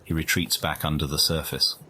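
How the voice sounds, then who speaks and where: A man performing a scripted nature-documentary narration in a game, with a solemn, measured, dramatic delivery. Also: very deep voice, level tone, noisy background. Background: music.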